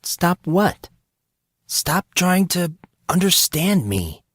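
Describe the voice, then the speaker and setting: A man voicing a blunt, deadpan teenage girl in an audiobook drama. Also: high voice, very slow, no room sound, studio quality, voice pushed up, full character voice.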